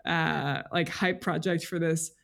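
A woman's voice: low voice, steady tone, slow, vocal fry. Female podcast guest, informal and reflective, works out thoughts as she speaks. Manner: informal, thinks aloud, hedging with "like" and "you know". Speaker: podcast guest, freelance journalist. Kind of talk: reflective interview conversation.

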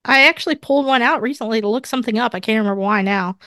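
A woman with a stuffed-up, congested voice co-hosts a casual podcast and steers the conversation with chatty, opinionated energy. Her voice sits a little higher than most and sounds close and dry, as if recorded in her home office. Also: rapid-fire, pushed and bright, dry recording. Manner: chatty and opinionated, leading the talk. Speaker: podcast co-host. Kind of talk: casual chat.

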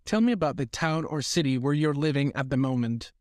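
A man's voice: mid-range voice, expressive, fairly quick, darkish tone, dry recording, clean studio sound. A man acting as an oral exam examiner, reading structured questions and instructions formally.

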